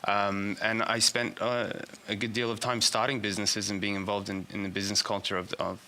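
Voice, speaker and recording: A man who grew up between England and Saudi Arabia, answering questions in an interview. His voice sits fairly low with a very bright, tense edge. He talks haltingly and restarts his sentences often. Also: little pitch movement, slight background noise. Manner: halting, full of restarts and fillers. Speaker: business strategist.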